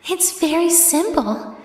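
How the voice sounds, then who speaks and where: A woman's voice: very high-pitched, a little mellow, full character voice. A woman playing a menacing, possessive yandere ex-girlfriend in an ASMR horror roleplay, with sugary endearments and theatrical commands. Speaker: voice actress in audio roleplay. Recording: echoey, long hall-like reverb.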